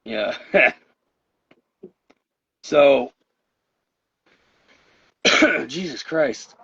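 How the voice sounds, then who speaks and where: A man's voice: medium pitch, expressive, very slow, vocal fry, sharp tone, slightly rough. A tired man with a voice wearing out, rambling through a casual chat show as co-host. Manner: rambling, tired, laughs often. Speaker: podcast co-host. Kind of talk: casual chat about wrestling. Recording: dull lo-fi sound.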